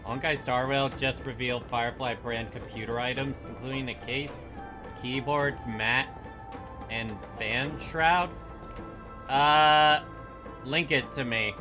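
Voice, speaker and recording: A man with a medium-pitched voice comments casually as a livestream host. His pace is slow, and game music plays clearly behind him. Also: fairly even intonation.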